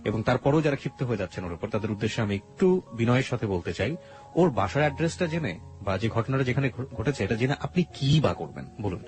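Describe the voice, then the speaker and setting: A man's voice: medium pitch, lively intonation, fast, a little mellow. A man with a Bangladeshi accent hosts a radio interview, questioning his guest and politely explaining things to listeners. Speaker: radio show host. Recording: prominent music under the voice. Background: background music.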